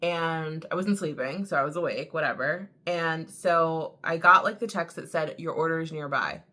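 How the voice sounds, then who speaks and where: Young female vlogger, low register, a bit raspy, loose chatty storytelling. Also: moderate pace, sharp tone. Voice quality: a little raspy. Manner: chatty storytelling, many "like" fillers. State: tired from early waking. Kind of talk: casual chat to camera.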